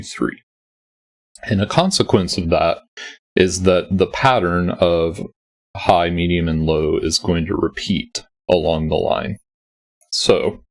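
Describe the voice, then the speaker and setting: A man hosting a sudoku walkthrough, gravelly and nearly losing his voice, explaining each step patiently with many ums. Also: low voice. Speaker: sudoku puzzle setter and walkthrough host. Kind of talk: explaining a puzzle solve.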